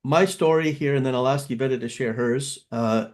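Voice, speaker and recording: A man in his fifties who grew up in Canada leads an online seminar with a mid-range voice. He speaks in a loose, conversational way and often pauses on filler sounds as he explains. He sounds like a financial advisor talking to an audience. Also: mid-range voice. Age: 50s. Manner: casual, conversational, with frequent filler words.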